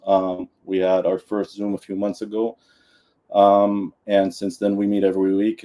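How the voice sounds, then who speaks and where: A man speaking at a community gathering, his voice very low and dark. He delivers the talk in a flat, even tone. He pauses often and restarts his sentences. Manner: hesitant, many ums and restarts. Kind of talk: public talk at a community event.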